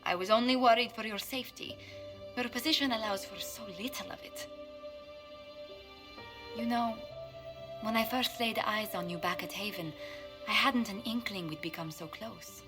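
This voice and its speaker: A woman's voice: fairly high pitch, normal speed, long pauses, close to an everyday voice. A woman playing an elegant, devoted noblewoman ambassador in a video game, speaking in a tender, formal way. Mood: fond, worried. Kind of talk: video game cutscene acting. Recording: background music under the voice.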